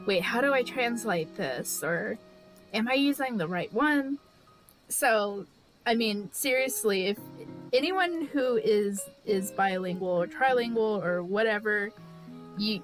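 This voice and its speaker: A woman's voice: mid-range voice, rough recording. Chatty Californian woman streaming her drawing. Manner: rambling and chatty, with restarts and frequent "like" and "you know". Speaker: artist streaming live. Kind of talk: casual chat while drawing on stream.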